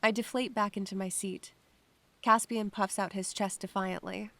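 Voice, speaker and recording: A woman playing an anxious, observant first-person narrator in a scripted audio drama, measured and literary. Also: mid-range voice, steady tone, gravelly, fairly bright tone. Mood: tense, hushed.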